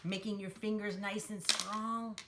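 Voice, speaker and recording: A woman's voice: medium pitch, little pitch movement, unhurried, heavy background noise. A woman hosting a children's storytime, talking simply and encouragingly to young children as she demonstrates a craft. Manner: refers to herself in third person.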